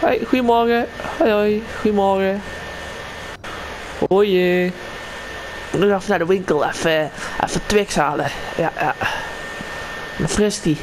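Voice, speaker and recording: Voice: medium pitch, measured pace, pauses often, natural voice. A player voicing a friendly, casual local townsman in an improvised game roleplay, explaining things hesitantly. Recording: clearly noisy game voice recording. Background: game ambience and vehicle sounds.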